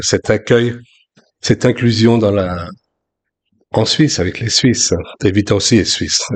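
A man from France in his sixties, preaching a sermon in a relaxed, conversational way with frequent restarts. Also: lowish voice. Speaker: pastor.

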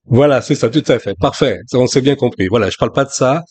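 A man from France in his sixties, preaching a sermon in a relaxed, conversational way with frequent restarts. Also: medium pitch, fast talker, slightly rough. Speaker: pastor.

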